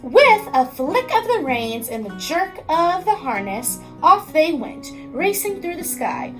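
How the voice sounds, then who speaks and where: High-voiced, animated American woman reading a storybook. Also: a little slow, faint music behind, low-quality recording. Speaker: teacher reading aloud. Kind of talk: expressive children's storybook narration.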